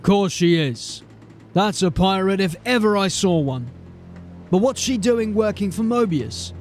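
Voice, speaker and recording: A man's voice: high voice, expressive, a little slow, soft background music. A man playing a stern male city watch sergeant who questions people in a formal, suspicious way. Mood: wry. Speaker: police sergeant.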